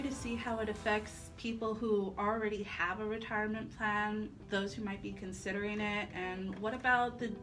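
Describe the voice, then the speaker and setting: An American woman co-hosting a live talk radio show. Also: mid-range voice. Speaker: radio co-host.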